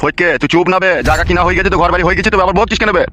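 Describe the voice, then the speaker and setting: A man voicing a weary old father in a cartoon, gruffly dismissive and crude. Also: high voice, very fast, very noisy, close to an everyday voice. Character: weary, grumbling old father, male head of household. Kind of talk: comic Bengali cartoon dialogue.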